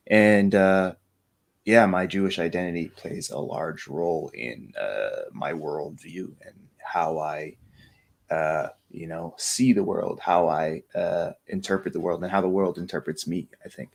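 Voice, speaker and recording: A man speaking earnestly on a panel, with many fillers. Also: low voice. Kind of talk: casual panel discussion.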